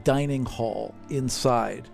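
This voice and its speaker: A man's voice: mid-range voice, slow pace, vocal fry, a little mellow, soft background music. A man narrating a tabletop game as game master, casual and conversational, improvising explanations as he goes.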